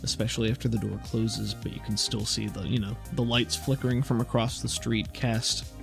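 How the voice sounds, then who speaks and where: A man's voice: fairly low pitch, steady tone, brisk, vocal fry, music in the background. A man improvising scenes as game master of a tabletop game in a casual, conversational way.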